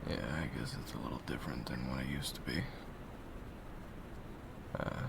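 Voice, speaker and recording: A man voicing a blunt male assassin with a deep, gravelly, dry-throated voice. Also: normal speed, heavy background noise.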